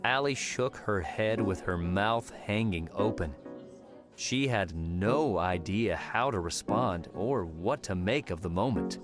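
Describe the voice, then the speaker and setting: A man's voice: fairly low pitch, animated, slow. A man reading scripted story narration as an audiobook narrator. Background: music.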